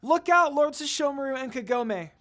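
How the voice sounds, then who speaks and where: A storyteller voicing an excitable, devoted little girl who exclaims and pleads in a story reading. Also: high voice, normal speed, pitched above the performer's own voice. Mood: alarmed. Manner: crying out, exclaiming.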